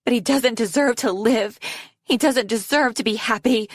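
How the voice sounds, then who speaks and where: A furious female ice dragon, put on by a woman narrator in a fantasy storybook. She is accusing and indignant, shouting high with very little room sound. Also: moderate pace, dry recording, studio quality, natural voice. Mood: bitter anger.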